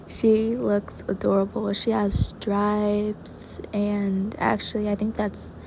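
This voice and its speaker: A woman giving casual, rambling commentary while she draws, soft and quiet, self-deprecating and prone to hesitant restarts. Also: mid-range voice, flat delivery, slow pace, gravelly, noisy background. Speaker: digital artist and channel creator. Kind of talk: casual commentary over a speedpaint.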